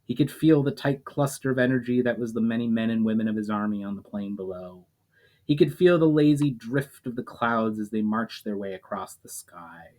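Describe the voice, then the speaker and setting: A man narrating a fantasy novel chapter in a steady reading voice. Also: mid-range voice. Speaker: storyteller.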